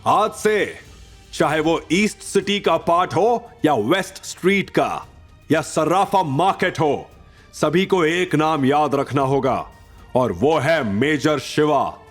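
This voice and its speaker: Casting: male reader as middle-aged underworld supremo, proud and declamatory, higher-pitched delivery, audio drama over music. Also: lively intonation, slow pace. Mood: commanding, solemn. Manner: declamatory, stretched emphatic phrases.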